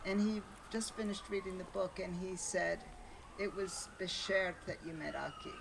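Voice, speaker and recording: Brief: gravelly-voiced New York woman, author at a book launch, wry, chatty storyteller, heard from across a noisy room. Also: lowish voice, moderate pace. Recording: distant mic, noisy room.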